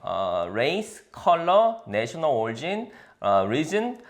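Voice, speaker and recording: A man's voice: fairly high pitch, wildly swooping pitch, slow. A man from Seoul, a real estate agent, explaining housing rules in casual chat and stumbling over English legal terms. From Seoul, South Korea. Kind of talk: conversational explainer talk.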